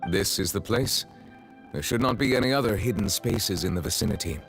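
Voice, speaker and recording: A man playing a grave, courteous magistrate in a video game, speaking in a formal, measured, reserved manner. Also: on the low side, vocal fry, faint music behind. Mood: composed.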